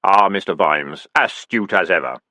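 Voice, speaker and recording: Death, as a man puts on a slow deep deadpan voice. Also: no room sound, clean studio sound. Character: Death, a calm, dry-witted skeletal figure.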